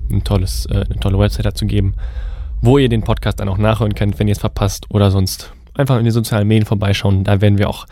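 A man's voice: on the low side, level tone, fast talker, dark tone, no echo. A young man with a cold, co-hosting a student radio podcast in a loose, rambling way with many fillers and restarts. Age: young adult. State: has a cold. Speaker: podcast co-host. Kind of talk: casual radio podcast chat.

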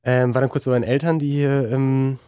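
Hesitant man with a dark, dry voice. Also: mid-range voice, level tone, a little fast, clear, resonant tone, no room sound. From Germany. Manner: hesitant, many fillers and restarts. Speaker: musician guest. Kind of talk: casual interview chat.

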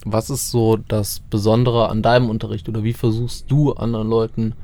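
A man's voice: fairly low pitch, flat delivery, brisk, dark tone. Guy interviewing someone, laid back and chatty, laughs easily. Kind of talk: casual interview.

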